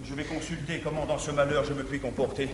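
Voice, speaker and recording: An actor playing a jealous middle-aged guardian, declaiming classical verse in a fretful, scheming way on a theatre stage. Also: male voice, medium pitch, little pitch movement, fast talker, close to an everyday voice. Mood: worried. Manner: fretful, then falsely obliging declamation. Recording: echoey old theatre recording with hiss.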